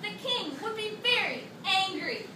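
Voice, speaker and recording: Very high-pitched, tricky little mouse deer played by a woman. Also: animated, a little slow, pushed and bright, full character voice. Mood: mock concern. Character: small, quick-witted, tricky female mouse deer. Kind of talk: children's readers theatre performance. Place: classroom, indoors. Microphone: distant camera. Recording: echoey classroom from far, noisy.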